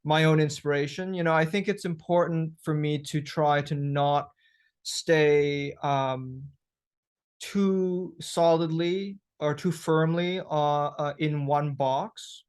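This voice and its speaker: A man from Thailand speaks as a guest in an interview. His voice sits a little high. He thinks aloud, pausing and restarting as he searches for words. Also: fairly even intonation, clear, resonant tone. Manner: thoughtful, hesitant, many restarts. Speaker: guest musician.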